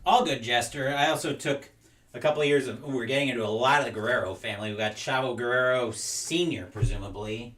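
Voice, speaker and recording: A man's voice: mid-range voice, fast, fairly bright tone. A young man talks casually through an unboxing, reading names aloud and drifting into side stories. His voice sits in a middle range and sounds a little distant from the mic, in a room with noticeable echo. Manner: rambling, reads names aloud while sorting. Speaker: collector unboxing wrestling game cards.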